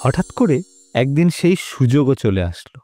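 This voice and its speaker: An actor playing a kindly retired grandfather who tells stories of his past in an indulgent, unhurried manner for an animated series. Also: medium pitch, no room sound. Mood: storytelling. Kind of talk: animated audio story narration. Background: background music.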